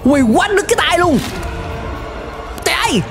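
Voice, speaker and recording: A young man with a Southern Vietnamese accent hosting a reaction video with excited, exclamatory commentary. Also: very high-pitched, normal speed. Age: young adult. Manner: exclamatory, animated. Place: indoor room, gaming setup. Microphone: close desk microphone. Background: music and cartoon soundtrack.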